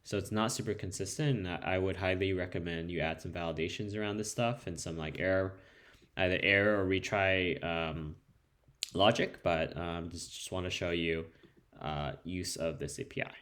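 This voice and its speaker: Deep-voiced guy explaining his code, laid back, lots of ums, spanish is his first language. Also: fairly even intonation, moderate pace, fairly bright tone. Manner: loose and conversational, many ums and restarts. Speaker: developer demoing code. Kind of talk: casual screen-share tutorial.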